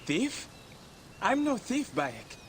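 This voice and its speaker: A man's voice: extremely high pitch, wildly swooping pitch, slow, a little hiss or hum, heavily acted. An actor playing a smug, boastful man who talks his way out of blame in a video game. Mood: smug storytelling. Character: boastful slippery adult man, smug braggart.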